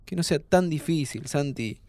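An actor playing a calm, upbeat young Argentine man who is terminally ill and reassures his friend in casual, slangy speech, in an audio drama. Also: on the high side, moderate pace, no echo, close to an everyday voice. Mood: soothing, hopeful. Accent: Argentine Rioplatense. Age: young adult. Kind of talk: naturalistic audio fiction acting.

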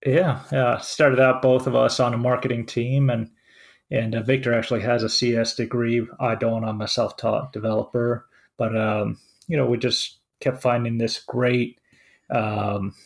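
A gravelly-voiced man from Finland, in his forties, co-hosts a relaxed chat. He talks haltingly, often pausing on fillers and restarting his sentences. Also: mid-range voice. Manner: hesitant, many fillers and restarts. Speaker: co-host. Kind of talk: casual podcast chat.

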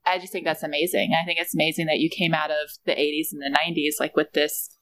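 A woman's voice: deep voice, level tone, quick pace, a bit bright. A woman in her thirties hosting a casual conversation in an effusive, emphatic way. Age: 30s. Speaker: podcast host.

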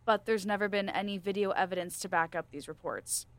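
A composed female scientist played by a woman in an audio drama. Her medium-pitched voice is measured and formal, carrying firm authority with a gentle side as she questions and explains. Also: lightly acted. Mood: explanatory. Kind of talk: student audio drama acting.

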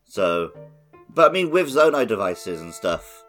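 A man with a fairly low voice talks casually as a podcast co-host. Faint music plays behind him while he chats about games in an informal way. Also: sing-song, a little slow, a bit bright. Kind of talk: casual chat, gaming podcast. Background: background music.

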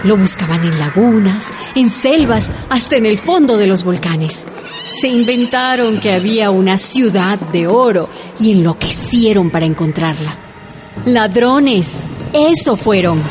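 A woman's voice: medium pitch, lively intonation, unhurried, noisy background. A woman giving scripted, indignant narration in a radio drama.

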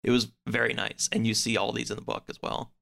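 A man's voice: lowish voice, level tone, fast talker, vocal fry, sharp tone. Informal man chatting as podcast guest. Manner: informal, wordy, full of "like". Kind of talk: casual chat about comics.

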